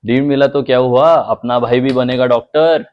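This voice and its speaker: A man's voice: mid-range voice, fairly even intonation, racing, muffled recording, close to an everyday voice. A man playing a teasing, cheerful older brother joking with his younger sibling.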